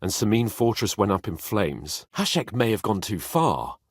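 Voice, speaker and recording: Casting: blunt medieval nobleman for a game. Lowish, rough voice, grave and dry, with lively inflection. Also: moderate pace, dry recording, exaggerated voice. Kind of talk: video game voice acting.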